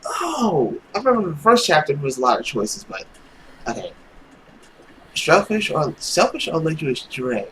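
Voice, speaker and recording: Expressive male let's play host. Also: fairly high pitch, moderate pace, a little hiss or hum, rough recording. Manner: expressive, swearing freely. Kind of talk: visual novel narration with live reactions. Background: music with plucked strings.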